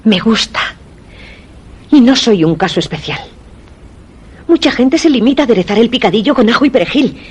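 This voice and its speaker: An actress with a Castilian accent playing a sullen, secretive, curt and defensive wife in a suspense radio drama. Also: female voice, a little high, animated, moderate pace, long pauses, breathy edge. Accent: Castilian Spanish.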